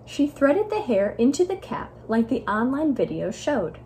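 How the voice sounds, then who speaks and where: A young American woman reading a children's story aloud at home as a library storyteller, expressive and carefully enunciated. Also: fairly high pitch, unhurried, slight background noise. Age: young adult. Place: living room at home, indoors.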